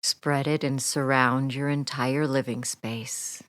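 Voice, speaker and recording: Female meditation guide, notably low register, unhurried and soothing, soft trailing phrase ends. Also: steady tone, dry recording, clean studio sound. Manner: soothing, gentle, deliberate with long pauses. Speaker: hypnotherapist guiding a meditation. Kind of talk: guided meditation, relaxation script.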